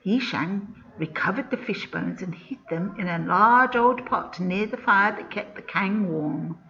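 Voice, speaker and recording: A woman's voice: medium pitch, measured pace, sharp tone, lo-fi sound, slight background noise. A woman reading a folk tale aloud like a teacher to children, expressively, with explanatory asides.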